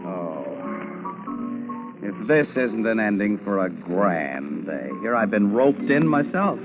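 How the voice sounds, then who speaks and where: An American actor playing an easygoing, quick-witted crime photographer in a 1940s radio drama, with a casual, wry, colloquial manner. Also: male voice, fairly low pitch, very animated. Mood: genial, rueful. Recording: muffled vintage 1940s radio broadcast, music.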